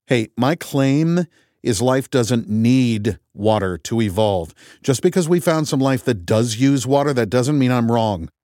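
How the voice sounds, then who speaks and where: A man's voice: mid-range voice, animated, normal speed, darkish tone, dry recording, clean studio sound, voice pushed up, close to an everyday voice. Brief: combative, sarcastic male scientist, raised and heated, the narrator's own take.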